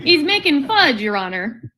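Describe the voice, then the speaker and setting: A polite, innocently literal housewife from an old-time radio comedy, played by a woman. Her voice is high and bright, with lively melody. She stays courteous and matter-of-fact. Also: unhurried, some chatter behind, a little hiss or hum, exaggerated voice.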